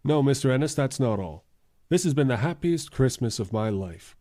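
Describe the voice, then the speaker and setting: Man playing a mellow, courteous lawyer. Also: medium pitch, animated, close to an everyday voice. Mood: heartfelt. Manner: formal, measured, courteous. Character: polite, formal male lawyer and earnest fiancé. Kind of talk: old-time radio drama acting.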